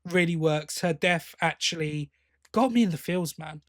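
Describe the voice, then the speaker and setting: Higher-voiced man chatting on a podcast. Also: moderate pace, no echo, studio quality. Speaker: podcast co-host. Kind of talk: casual review chat.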